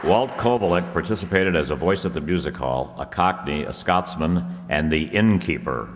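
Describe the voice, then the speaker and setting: A man playing a vintage radio announcer, reading scripted copy in a measured, formal manner. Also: deep voice, a faint crowd, natural voice. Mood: formal, genial. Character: smooth 1930s radio announcer selling soap. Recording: echoey hall, noisy audience room.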